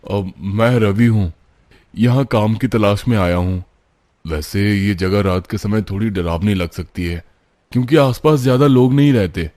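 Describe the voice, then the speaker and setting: A man's voice: fairly low pitch, measured pace, a little mellow, no room sound, clean studio sound, lightly acted. A man voicing an anxious but kind young man, hesitant and wary, in a horror cartoon story. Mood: kind, polite.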